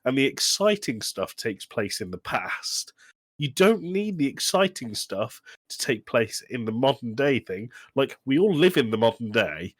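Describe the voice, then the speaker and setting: A man with a medium-pitched voice co-hosts a casual film discussion. He speaks emphatically, with an exasperated, critical edge. The sound is very dry, with no room around it. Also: big pitch swings, unhurried, no echo. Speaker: podcast co-host. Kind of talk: casual film review chat.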